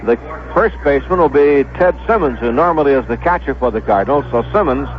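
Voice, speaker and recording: An American man calling baseball on 1970s radio in a measured announcing manner, repeating names for clarity. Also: medium pitch, expressive. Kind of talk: 1970s radio sports commentary, pregame lineups. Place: stadium broadcast booth. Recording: dull lo-fi vintage radio broadcast.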